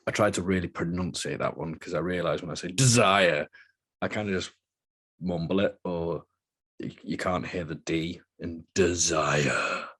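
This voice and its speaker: A man's voice: low-pitched, measured pace, fairly bright tone, slightly rough. A British man hosting a podcast in a chatty, joking, rambling way. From Britain. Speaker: podcast host. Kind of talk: casual podcast chat.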